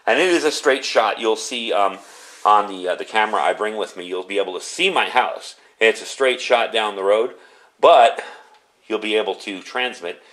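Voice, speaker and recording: A man's voice: fairly low pitch, moderate pace, fairly bright tone. A man giving a casual, unscripted product review in a chatty, conversational way.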